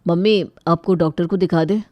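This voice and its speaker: A woman voicing a polite, mild young man in a family storybook tale, courteous and soft-spoken. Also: on the low side, fairly even intonation, moderate pace, dry recording, close to an everyday voice. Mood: gentle concern.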